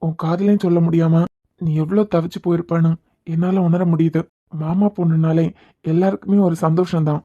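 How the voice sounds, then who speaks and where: A man playing a young man secretly in love, speaking softly and poetically. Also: on the high side, flat delivery, brisk, lo-fi sound, natural voice. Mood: tender. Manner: soft, tender and poetic. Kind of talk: romantic audio drama acting.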